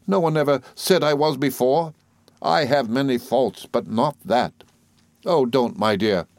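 A timid young wife and mother from a classic storybook, acted out by a male narrator. The voice is lifted well up in pitch, faltering and pleading, with a meek, tearful temper. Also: moderate pace, darkish tone, no echo, natural voice. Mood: piteous pleading. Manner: faltering, pleading, repeating herself.

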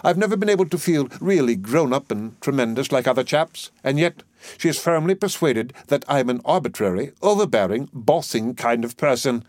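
A man voicing an imperious, excitable professor in a play reading, declamatory and overbearing. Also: mid-range voice, moderate pace, no echo, studio quality, voice pushed up, natural voice. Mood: self-pitying, puzzled. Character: imperious, excitable male professor, scornful and bossy.

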